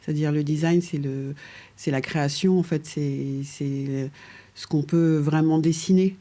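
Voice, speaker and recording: A middle-aged woman with a mellow, darker tone speaks in an unhurried, conversational way. She pauses often on fillers and restarts her sentences while she searches for words. She laughs now and then as she explains her path. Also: low voice, fairly even intonation. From France, raised in Paris from age two. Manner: hesitant, many fillers and restarts, laughs lightly. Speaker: guest architect. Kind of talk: casual conversational interview.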